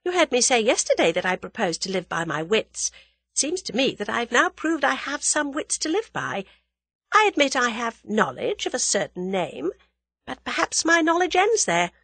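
A woman narrator plays a quick-witted young adventuress in an audiobook. She speaks high and sweetly, with polite, teasing composure. Her pitch leaps about in a lively way, and the recording is dry. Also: no echo, full character voice. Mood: poised, placating.

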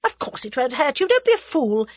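A woman's voice: on the high side, sing-song, moderate pace, a bit bright, husky, dry recording, voice pushed up, exaggerated voice. A woman voicing a menacing, imperious villainess with a cold, commanding and scornful manner in an audiobook story. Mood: sharp impatience.

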